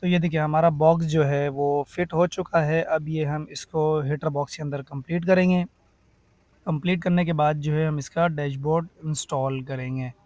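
A man talking, explaining a car repair calmly, step by step, as a workshop mechanic. The recording is dry and close, with almost no room echo. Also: fairly high pitch. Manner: explanatory, repeats "theek hai" and "ye dekhiye". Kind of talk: workshop tutorial.

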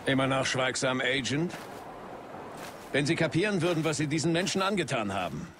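A man acts as an accusing military commander in a video game. His medium voice is measured and full of authority, with battlefield ambience behind. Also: medium pitch, expressive, lightly acted. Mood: stern, accusing. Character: stern male military commander, accusing interrogator. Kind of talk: video game voice acting, German dub. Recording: noisy outdoor battlefield ambience.

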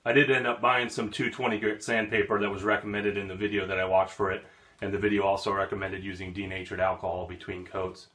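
Low-voiced man explaining boat work. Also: flat delivery, fast, a bit bright, reverberant. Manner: plain, matter-of-fact explaining. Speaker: vlogger refitting his sailboat. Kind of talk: casual how-to narration. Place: aboard a boat in a marina.

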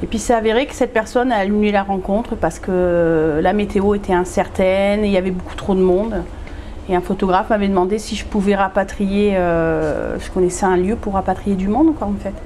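Woman, 40s, from northern France, mellow and hesitant, casual interview talk, faint background noise. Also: mid-range voice. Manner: hesitant, many fillers and restarts. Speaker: photographer and event organizer. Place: glass greenhouse room.

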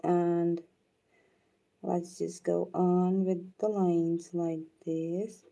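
Give me a soft-spoken woman, native Urdu speaker, with a lowish voice, explaining nail art to her viewers. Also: level tone, gravelly. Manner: soft-spoken, unhurried, thanks viewers by name. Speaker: nail art tutorial host. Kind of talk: live nail art demonstration with chat shout-outs.

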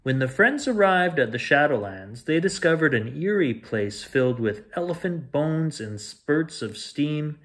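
A man in his forties reading a children's picture book aloud as a storyteller, deliberate and expressive. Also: fairly high pitch. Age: 40s. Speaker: storyteller reading bedtime stories. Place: small home room with shelves, indoors.

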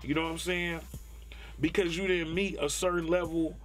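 A man's voice: fairly high pitch, steady tone, normal speed, fairly bright tone. A man from Detroit, a rapper, talking bluntly and profanely in a laid-back interview with a Detroit accent. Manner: laid-back, blunt and profane, many "you know what I'm saying". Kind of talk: candid interview talk.

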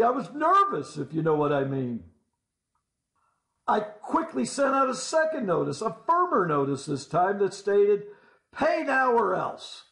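High-pitched man narrating in a roomy space. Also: creaky, fairly bright tone. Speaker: storyteller. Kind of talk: scripted first-person story narration. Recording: roomy, echoing voice-over.

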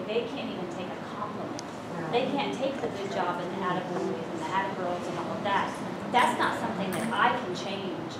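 A woman in the audience tells frank, lively stories about work, speaking from well away from the microphone. The room is very echoey, with loud ambient noise around her voice. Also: medium pitch. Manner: frank and storytelling. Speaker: seminar attendee. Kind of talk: audience comment. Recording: echoey room, distant from the mic.

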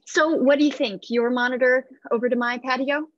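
A woman playing an eager, awkward, apologetic new mother, gushing and halting, in a comedic play reading with a husky voice. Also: high voice, a bit bright. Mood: giddy, eager. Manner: nervous, gushing, halting self-corrections. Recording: muffled video call audio.

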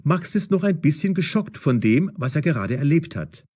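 A man narrating a scripted story for language learners in a deliberate, expressive, clearly enunciated way. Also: a little high.

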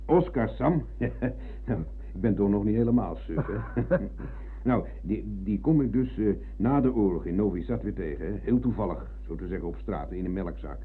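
An actor playing a forgetful old war veteran in a radio play, husky and halting as he rambles. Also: on the low side, expressive, a little hiss or hum, close to an everyday voice. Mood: reminiscing. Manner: hesitant, halting, peppering talk with tag questions. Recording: thin, phone-like old radio recording.

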